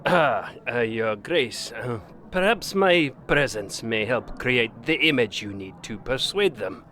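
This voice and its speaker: Man playing a slow, courtly older retainer. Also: mid-range voice, lively intonation, bright, forceful tone, slight background noise. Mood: deferential. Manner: formal, halting, ceremonious. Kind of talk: fantasy audio drama acting.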